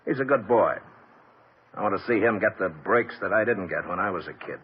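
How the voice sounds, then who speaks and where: A tough middle-aged gangster father with a low, husky voice and blunt street slang. He talks like a man used to buying what he wants. The sound is dull and lo-fi, like an old radio broadcast. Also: expressive, a little fast. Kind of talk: 1950s radio drama acting.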